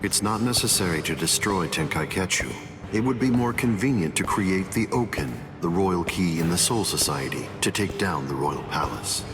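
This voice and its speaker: An actor playing a calm, coldly arrogant arch-villain in an anime game dub, composed, pompous and condescending. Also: male voice, deep voice, normal speed, music in the background. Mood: cold command.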